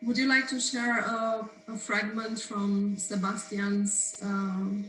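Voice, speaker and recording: A woman's voice: medium pitch, little pitch movement, slow, a bit bright. A woman hosting a seminar, asking a guest polite, careful questions with some hesitation. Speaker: host. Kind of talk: interviewing a guest. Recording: echoey, distant mic with faint hum.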